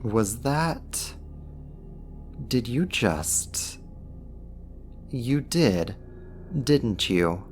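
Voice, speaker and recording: A man's voice: lowish voice, a little hiss or hum. A man playing a smug, possessive archdemon in an ASMR roleplay, teasing and coaxing a human in an intimate, amused manner. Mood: mocking amusement. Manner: teasing, smug, deliberate delivery. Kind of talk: ASMR roleplay acting, intimate second-person monologue.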